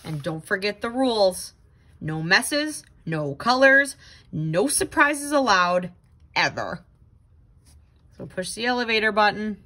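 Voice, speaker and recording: A woman reading a children's picture book aloud in an expressive, dramatic, exclaiming way. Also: medium pitch, slow pace. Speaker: storybook reader.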